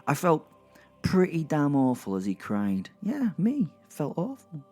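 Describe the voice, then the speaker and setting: A man's voice: fairly high pitch, wildly swooping pitch, a little mellow, faint music behind. A man playing a brash, sardonic werewolf who tells his violent story in a rambling, conversational way with wry asides, in an audio drama. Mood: anguished. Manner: rambling, conversational, halting for effect, repeating words. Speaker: narrator and main character of an audio drama.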